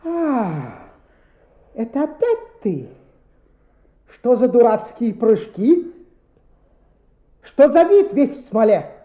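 An officious, animated school inspector. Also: female voice, a little high, slow, long pauses, heavily acted. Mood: stern. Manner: scolding, interrogating, officious. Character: stern, indignant school inspector. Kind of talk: radio play acting. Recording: dull old radio recording.

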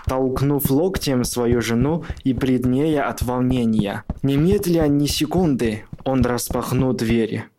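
A man's voice: medium pitch, slow, hardly any pauses, noisy background. A man who is a native Chinese speaker, reading scripted narration for a radio play.

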